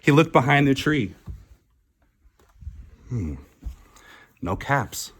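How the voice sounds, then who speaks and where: A man reading a children's picture book aloud in an expressive, deliberate way with dramatic pauses. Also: medium pitch, slight background noise. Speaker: storybook reader.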